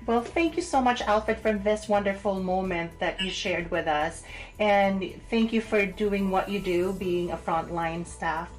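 A woman hosting an interview in a polite, measured, encouraging way. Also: mid-range voice. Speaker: interviewer, show host. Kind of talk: interview questioning.

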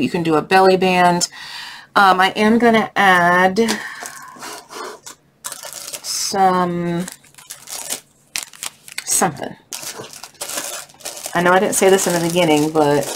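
A woman's voice: lowish voice, a bit bright. A woman hosting a casual craft tutorial, thinking aloud in a chatty, easygoing, unscripted way. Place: indoors at a craft table. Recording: noisy home recording with clicks.

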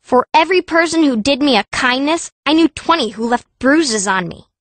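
High-voiced, fierce young girl. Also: moderate pace, a bit bright, no echo. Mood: bitter, insistent. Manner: blunt, grumbling. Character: fierce, cranky, sharp-tongued young girl mage. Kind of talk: first-person audiobook narration.

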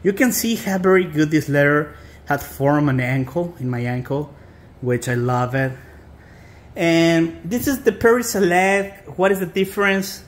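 A man with a Mexican American accent giving a casual, halting, informal product review as he talks to viewers. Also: a little high. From South Texas. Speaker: boot reviewer.

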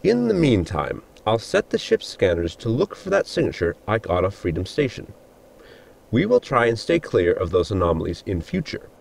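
An actor playing a polite, literal-minded ship's assistant with a gravelly, husky voice, explaining formally in a sci-fi audio comedy. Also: male voice, lowish voice, sing-song, moderate pace, a little mellow, full character voice. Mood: formal, matter-of-fact. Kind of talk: sitcom audio drama acting.

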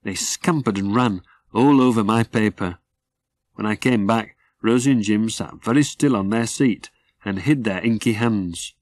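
A man narrates a children's story in a measured storytelling manner. His voice sits fairly low, and the recording is dry and close, with little room sound. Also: no echo. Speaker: author reading his own story.

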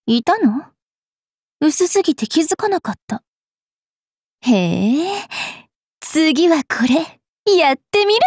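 A woman playing a haughty, cruel young fairy priestess in an anime game, sneering and taunting with a rough mouth. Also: high voice, dry recording, studio quality, full character voice.

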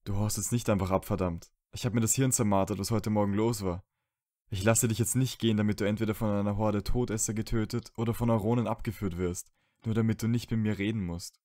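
A man's voice: lowish voice, fairly even intonation, fast talker, dark tone, no room sound, clean studio sound, close to an everyday voice. A man acting a stubborn, wounded, emotionally open young wizard in a fan audio drama, speaking earnestly and colloquially. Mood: frustrated, insistent.

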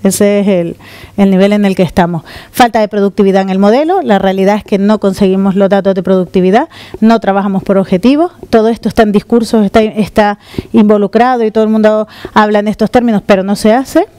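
A woman's voice: mid-range voice, fast, dry recording. Woman from the Canaries giving a talk. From Canary Islands. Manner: lively, gesturing while walking on stage. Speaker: conference speaker. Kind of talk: conference talk. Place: conference room with audience. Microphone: handheld microphone.

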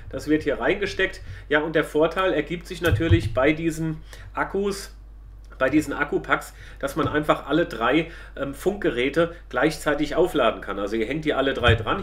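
A man's voice: fairly high pitch, fairly bright tone. A middle-aged man, casually explaining a product to viewers as he reviews it. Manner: explanatory, addresses viewers directly.

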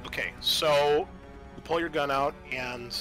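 A man's voice: fairly high pitch, measured pace, fairly bright tone. A man acting as game master, patiently explaining tabletop roleplaying rules in a casual, conversational way. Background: music.

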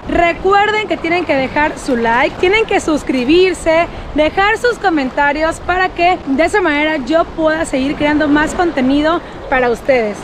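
A young woman vlogging on a sunny beach and in the shallow sea, talking excitedly to her viewers through an action camera held at arm's length. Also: extremely high pitch, noisy background. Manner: enthusiastic, addresses viewers as family. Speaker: travel vlogger. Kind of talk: upbeat travel vlog to camera.

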